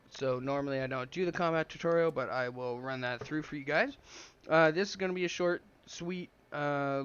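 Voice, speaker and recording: A man with a slight cold walks through a strategy game in easygoing, meandering commentary full of ums and uhs. His voice sits at an ordinary pitch and sounds smooth, though the recording is dull and lo-fi. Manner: relaxed, rambling, many ums and uhs. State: has a slight cold, sniffling. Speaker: gameplay video creator. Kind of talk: casual gameplay commentary. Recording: dull lo-fi audio.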